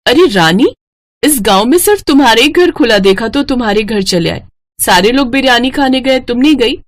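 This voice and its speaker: A woman's voice: on the high side, lively intonation, normal speed, pitched above the performer's own voice, lightly acted. A woman voicing an earnest, determined young village girl in a cartoon story. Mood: friendly.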